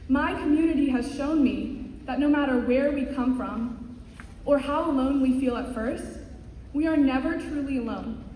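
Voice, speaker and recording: High-voiced teen girl speaking sincerely. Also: moderate pace, dark tone, slight background noise. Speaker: student candidate giving a speech. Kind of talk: prepared speech read aloud. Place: school assembly hall. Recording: echoey hall with audience.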